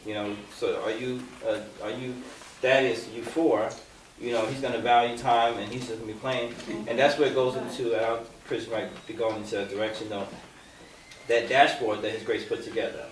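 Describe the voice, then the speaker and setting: A man with an average-pitched voice who talks conversationally and keeps saying "you know". He sounds distant in an echoey room with faint noise behind him. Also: flat delivery, fairly quick. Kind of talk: casual group discussion.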